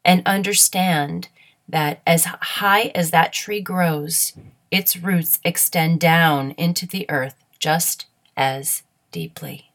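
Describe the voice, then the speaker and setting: A woman leading a guided meditation in a soothing, measured, instructional way. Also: on the low side, fairly bright tone.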